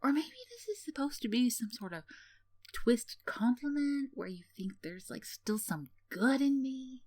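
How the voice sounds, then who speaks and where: A woman's voice: a little high, expressive, unhurried, warm tone, dry recording. Woman acting a sardonic tsundere villainess, dry close recording. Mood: scornful teasing. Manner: teasing, condescending, theatrical sighs and scoffs. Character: sardonic tsundere female villain, mocking yet secretly caring. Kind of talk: roleplay ASMR acting.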